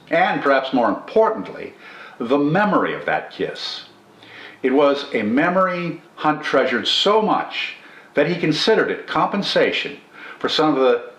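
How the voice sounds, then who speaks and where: An older man reading a scripted literary commentary and reciting verse as host, in a measured, formal and expressive manner with deliberate phrasing. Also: mid-range voice. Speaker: poetry channel host and reader. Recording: roomy indoor echo.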